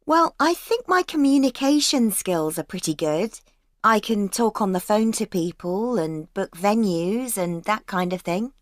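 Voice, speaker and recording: An actress playing a keen young student in a scripted exam dialogue, agreeable and quick to laugh. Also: female voice, medium pitch, lively intonation, no room sound, natural voice. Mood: earnest. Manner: eager, agreeable, laughs often. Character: keen young female events-management student. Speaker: student planning a work placement. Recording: dry studio recording.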